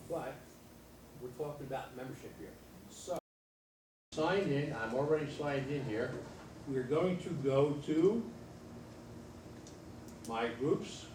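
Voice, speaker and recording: Man, workshop instructor, loud plain delivery; distant camera mic, noisy room. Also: mid-range voice, slow, pauses often, echoey room. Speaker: workshop presenter. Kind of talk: training workshop presentation. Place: meeting room with an audience.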